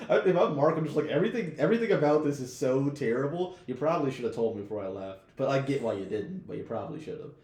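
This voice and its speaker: A man's voice: medium pitch, animated, fast, few pauses, darkish tone, roomy sound. A young man chatting casually as a podcast co-host, slangy and profane.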